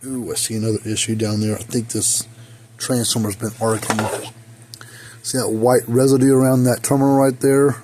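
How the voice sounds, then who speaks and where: A hoarse man of middle pitch talks through a repair job in his workshop, thinking aloud as he works with his hands. He rambles and restarts in a loose, casual way. A phone picks him up with a faint hiss of noise behind him. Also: little pitch movement, normal speed, mellow. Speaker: repair technician. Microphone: phone camera.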